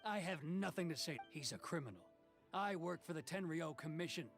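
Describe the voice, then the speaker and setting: A proud, dismissive officer in a video game. His voice is high for a man, formal and commanding, over faint music. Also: animated, a little fast, fairly bright tone. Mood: stern. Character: stern, proud swordsman officer, dismissive and duty-bound.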